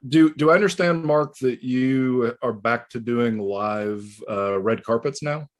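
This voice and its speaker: A man's voice: mid-range voice, a little slow. A man hosting a casual video call, chatty as he introduces and questions guests. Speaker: host.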